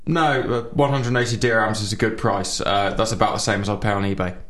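A man's voice: lowish voice, steady tone, fast, a bit bright, reverberant. Dry man telling rambling stories. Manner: dry, rambling anecdotes with chuckles. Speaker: co-host. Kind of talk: casual comedy radio chat.

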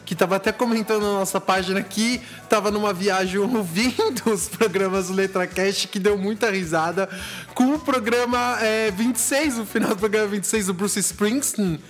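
A man's voice: high-pitched, normal speed. Playful man hosting a podcast. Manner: playful, teasing. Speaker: podcast host. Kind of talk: casual podcast chat.

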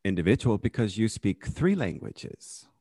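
Male host, interviewing his student; friendly, encouraging, very expressive pitch. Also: moderate pace, no echo, studio quality. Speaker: podcast host, English teacher. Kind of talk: podcast interview hosting.

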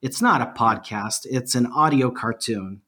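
A man's voice: mid-range voice, measured pace, bright, forceful tone. A man from Bosnia and Herzegovina, a podcast guest chatting animatedly and mocking himself with frequent laughs. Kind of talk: casual interview chat.

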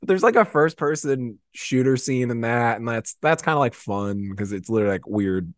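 A man chatting casually in an excitable, effusive way. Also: medium pitch, normal speed, no echo, clean studio sound. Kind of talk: casual chat.

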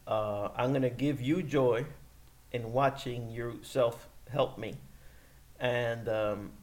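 A man's voice: mid-range voice, fairly even intonation, slow pace. Easygoing man co-hosting a podcast. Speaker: podcast co-host. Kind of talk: casual chat.

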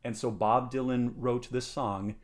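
A man co-hosting a podcast in casual chat, excitable and full of restarts as he explains. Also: medium pitch, measured pace. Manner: excitable, many restarts and fillers. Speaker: podcast co-host.

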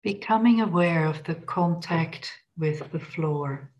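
A woman leading a guided body scan meditation in a gentle, unhurried, instructional manner. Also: sits low, highs cut off.

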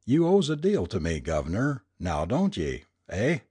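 A man voicing a sly male ex-convict who teases in rough slang. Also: fairly low pitch, wildly swooping pitch, moderate pace, a little mellow, no room sound, full character voice. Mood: teasing.

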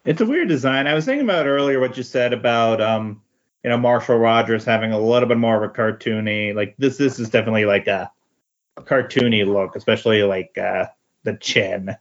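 Man, podcast guest, casual chat, hesitant delivery, middle pitch, muffled lo-fi sound. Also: fairly quick. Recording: dull, lo-fi voice recording.

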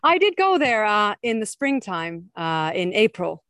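A woman from Finland, a guest poet who reads her poems slowly and chats with soft laughs. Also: mid-range voice, very animated, dry recording, studio quality. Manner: slow, measured recitation with soft laughs in between. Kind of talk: reading her poems aloud and chatting.

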